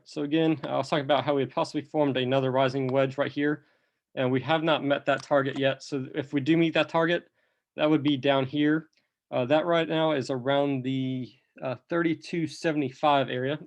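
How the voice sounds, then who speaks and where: Male chart analyst, a bit sick with a cough, middle-pitched, easygoing step-by-step delivery. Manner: unhurried, talks through charts step by step. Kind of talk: screen-recorded chart walkthrough.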